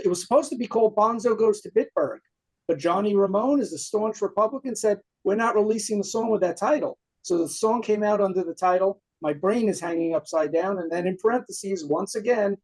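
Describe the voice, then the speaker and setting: A man's voice: high voice, fairly quick, darkish tone, low-quality recording. A man with a New York accent, a punk singer guest, eagerly talking through a favorite song in a casual music chat. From Queens, New York. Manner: enthusiastic, detail-heavy storytelling.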